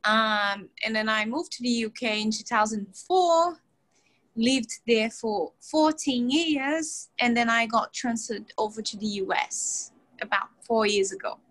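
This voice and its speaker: A woman from Brazil speaking English, introducing herself on a video call. Her voice is bright and fairly high, and she talks slowly, chatting loosely with little laughs and frequent restarts. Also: steady tone, rough recording. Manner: chatty and warm, laughs often, many restarts. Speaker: new board member of a cycling club.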